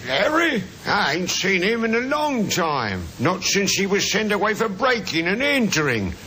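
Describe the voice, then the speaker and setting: A man playing an aggrieved, shady ex-bookmaker in a radio drama, rough, slangy and menacing. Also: big pitch swings, unhurried, sharp tone, faint music behind, heavily acted. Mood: dismissive. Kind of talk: 1950s radio drama acting. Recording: old noisy radio recording with rumble.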